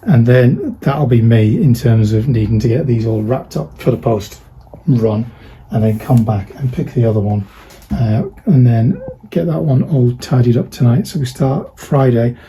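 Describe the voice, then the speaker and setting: A man's voice: medium pitch, expressive, unhurried, dark tone, slight background noise, rough recording. A man chatting casually while packing orders, rambling and thinking aloud with lots of fillers. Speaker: online parts seller. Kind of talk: casual behind-the-scenes vlog chat.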